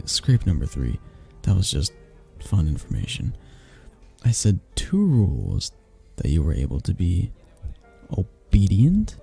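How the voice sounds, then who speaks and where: A man playing a possessive mafia boss in a romantic ASMR audio roleplay, speaking haltingly with sighs and pointed pauses in a low, breathy, husky voice. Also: warm tone, full character voice. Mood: stern, possessive. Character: possessive, menacing young mafia boss, softening into awkward tenderness.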